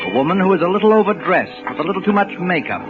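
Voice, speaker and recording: A male announcer from vintage radio reads scripted narration with polish and drama. His pitch moves widely. The recording is muffled, with music clearly playing behind. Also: sing-song, rapid-fire.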